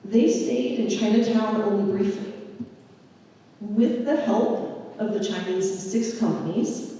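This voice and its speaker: A woman presents history in a slow, measured storytelling manner. Her voice is mellow and warm in tone. She sounds distant from the mic, with the long echo of a large lecture hall around her. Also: medium pitch. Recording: echoey hall from far off.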